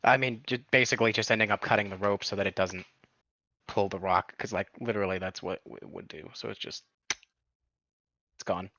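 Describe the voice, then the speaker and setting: A male player in a casual tabletop game chat, calmly explaining his character's moves. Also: fairly low pitch, normal speed, pauses often, no room sound, muffled recording.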